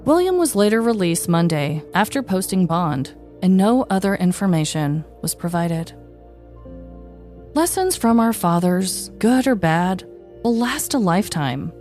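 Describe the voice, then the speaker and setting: A woman's voice: mid-range voice, very animated, a little slow, a little mellow, soft background music. A woman hosting a podcast, moving between scripted true-story narration and casual chat.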